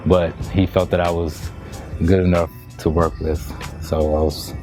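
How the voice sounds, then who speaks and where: A very low-pitched American man talks casually as a musician being interviewed. He is laid-back and conversational, dropping in slang. Music plays clearly behind his voice. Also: flat delivery, a little slow, a little mellow. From Washington, D.C. area, United States. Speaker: musician and producer in a band.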